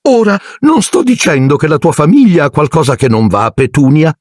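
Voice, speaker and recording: A man's voice: animated, normal speed, darkish tone, no room sound, clean studio sound. A man voicing a drunken, bullying middle-aged aunt who sneers and shrieks insults at her nephew in an audiobook. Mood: scornful. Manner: scornful, shrill and sneering.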